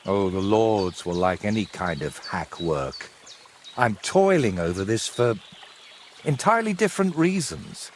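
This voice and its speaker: An actor playing a brooding, secretive medieval painter in a historical video game, wry, teasing and evasive. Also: male voice, lowish voice, sing-song, a little slow, a little hiss or hum. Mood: weary, dry. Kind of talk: dramatic dialogue in a cinematic historical game.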